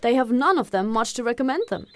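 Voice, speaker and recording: A woman's voice: on the high side, animated, quick pace, voice pushed up, natural voice. A woman voicing a sarcastic, teasing middle-aged husband with a British accent in an audiobook, wry and reserved. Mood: sardonic. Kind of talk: audiobook character acting.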